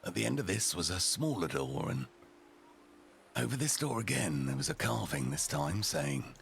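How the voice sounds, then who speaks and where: A low, gravel-edged male storyteller , a character a man puts on for roleplay, rough and ready and fond of swearing. He narrates like someone reading a grim storybook, deliberate and theatrical, lingering on his pauses. Faint ambient noise sits behind the voice. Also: big pitch swings, fairly bright tone, exaggerated voice. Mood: gruff, dramatic.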